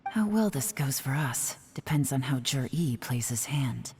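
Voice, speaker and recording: A woman voicing a cool, composed female spy in a game, with dry, hushed, wry asides. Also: rumbling low, expressive, a little slow, slight background noise, exaggerated voice.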